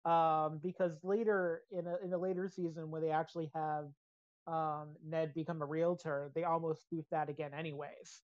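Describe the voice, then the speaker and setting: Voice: medium pitch, flat delivery, normal speed, a little mellow, dry recording. Dull-sounding, dry casual podcast talker. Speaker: podcast co-host. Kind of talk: casual podcast chat about pop culture.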